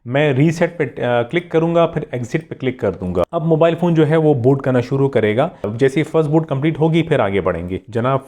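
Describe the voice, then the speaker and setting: A man's voice: medium pitch, animated, fairly quick, few pauses, darkish tone. A man hosting a tech tutorial, narrating step by step in a polite, instructional way and repeating key steps.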